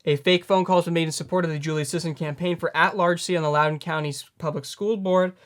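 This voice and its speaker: A man in his late teens hosting a news commentary, earnest and halting as he corrects himself. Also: fairly high pitch, fairly even intonation. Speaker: news host.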